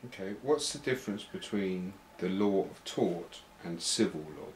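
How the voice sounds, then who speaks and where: A man's voice: low voice, animated, measured pace. A man acting as interviewer, putting short plain questions in a training interview. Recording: roomy echo, faint background noise.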